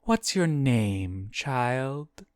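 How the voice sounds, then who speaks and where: A narrator voicing a huge, ancient female demon who speaks slowly and formally, intimate with kin and contemptuous of mortals. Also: mid-range voice, big pitch swings, dry recording, studio quality, voice pushed up. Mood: curious, caressing. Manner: slow, formal, archaic phrasing.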